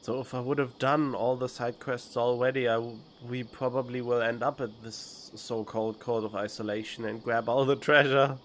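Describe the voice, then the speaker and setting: A man's voice: mid-range voice, level tone, moderate pace, few pauses, a little hiss or hum. A man streaming a game with casual playthrough commentary into a studio microphone. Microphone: studio condenser microphone. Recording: game music behind the voice.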